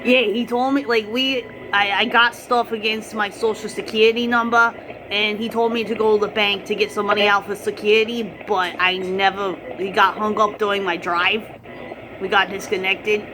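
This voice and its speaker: A woman's voice: mid-range voice, little pitch movement, normal speed, background noise, close to an everyday voice. A woman playing a chatty, easily distracted, argumentative grandmother on a prank phone call, drawing out her words for emphasis. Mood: evasive, innocent. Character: chatty, defiant, easily distracted grandmother, swooning over TV men.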